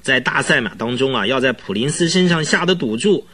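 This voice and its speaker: An actor playing an agitated, talkative male client who recounts eerie events in a halting, rambling way in a radio drama, with a husky voice. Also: medium pitch, animated, bright, forceful tone. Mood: eager, uneasy. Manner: rambling, halting, full of interjections. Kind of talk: Chinese radio drama acting.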